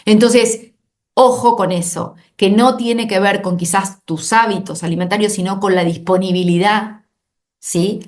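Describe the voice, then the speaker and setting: A woman's voice: medium pitch, fairly even intonation, a little slow. A woman from Argentina with an Argentine Spanish accent, a doctor guest on a podcast, explaining earnestly and often repeating words as she talks. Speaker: obesity specialist doctor, guest. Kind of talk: conversational podcast interview.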